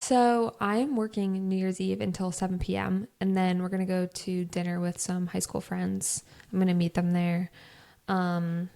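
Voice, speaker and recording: A young woman co-hosts a podcast, thinking out loud in long, wandering sentences packed with "like". Her voice is a bit low, with a gravelly vocal fry running through her talk. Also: flat delivery, moderate pace. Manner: talkative and rambling, many "like" fillers. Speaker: podcast co-host. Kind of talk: casual chat.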